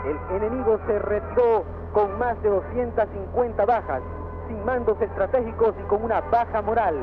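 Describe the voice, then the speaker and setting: A woman's voice: medium pitch, moderate pace. A woman reading a militant news bulletin with the sound of an old radio broadcast. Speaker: radio newsreader. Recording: tinny narrow-band radio broadcast with music.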